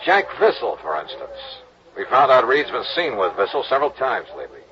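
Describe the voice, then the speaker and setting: A man's voice: mid-range voice, sing-song, a little fast, bright, forceful tone. A man playing a dogged, hard-boiled insurance investigator in a 1950s radio drama, questioning suspects with clipped, wry lines and narrating. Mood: steady. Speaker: lead and narrator. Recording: muffled old radio broadcast with hiss.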